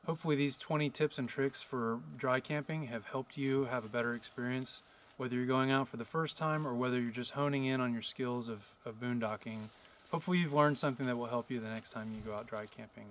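A man in his forties gives practical camping tips to the camera in a steady, explaining way, speaking outdoors at a wooded campsite. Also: medium pitch, fairly quick, slight background noise. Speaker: RV travel vlogger. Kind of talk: instructional vlog to camera.

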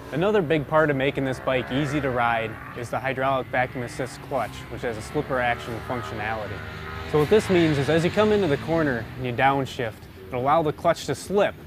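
A man's voice: mid-range voice, background noise. A man giving an informal technical explanation as an engineer presenting product features. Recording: music playing behind the voice. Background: background music.

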